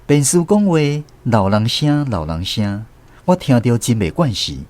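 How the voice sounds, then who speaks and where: Lively Taiwanese man narrating for radio. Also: medium pitch, a little slow. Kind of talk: scripted narration. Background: faint music.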